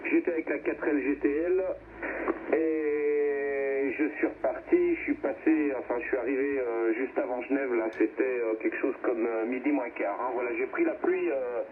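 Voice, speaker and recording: A man's voice: medium pitch, moderate pace. A man chatting in a casual, rambling way as host of an amateur radio net, heard over shortwave. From France. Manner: rambling, many verbal tags. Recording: narrowband shortwave radio, clear static noise.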